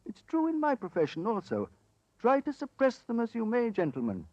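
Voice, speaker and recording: A dramatic psychic investigator, high and swooping in pitch. He is self-assured and portentous, in a vintage radio play with dull sound. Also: huge pitch swings, moderate pace, noisy background, heavily acted. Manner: theatrical, portentous. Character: dramatic, self-assured gentleman ghost researcher. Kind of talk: 1940s radio acting. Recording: dull old radio recording.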